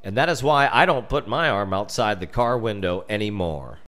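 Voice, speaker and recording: An American man reading a scripted story as narrator, theatrically, with dramatic pauses. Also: on the low side, expressive, fairly bright tone. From United States. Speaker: storyteller reading his comic family memoir.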